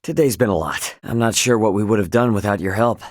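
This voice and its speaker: A man's voice: fairly low pitch, brisk, a little hiss or hum, close to an everyday voice. An actor voicing a brash, loyal young male spaceship captain in a video game, with an American accent and a casual, cocky, emphatic way of talking. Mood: easygoing, friendly.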